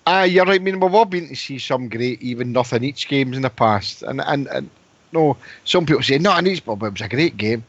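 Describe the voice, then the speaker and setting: Halting co-host, dull dry sound. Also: male voice, mid-range voice, lively intonation, no echo. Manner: halting, repeats words while thinking. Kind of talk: casual phone-in chat.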